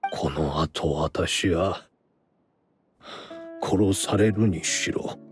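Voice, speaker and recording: A man voicing a weary, dignified older scholar in a game, speaking formally in a measured, resigned way. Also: low-pitched, soft background music. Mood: earnest, solemn. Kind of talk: Japanese game dub dialogue.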